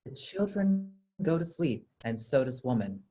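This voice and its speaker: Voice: medium pitch, very animated, measured pace, mellow, slight background noise. A narrator reading out scripted stage directions for a play.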